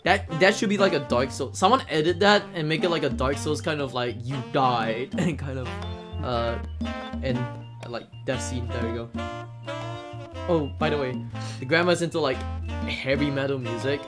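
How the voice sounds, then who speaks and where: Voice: medium pitch, normal speed. Speedrunner from singapore, giggly n rambly, casual live commentary, music playing behind. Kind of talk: casual live game commentary with shout-outs.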